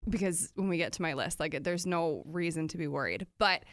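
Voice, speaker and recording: A woman in her thirties co-hosting a casual chat, telling stories with lively acted-out reactions. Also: on the low side, fairly quick, dry recording, studio quality. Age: 30s. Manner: animated storytelling, acts out her own reactions.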